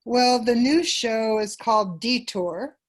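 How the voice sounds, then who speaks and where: A woman answering questions as a theater group's presenter, in an informal, casual interview style. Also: a little high. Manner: unhurried, informal.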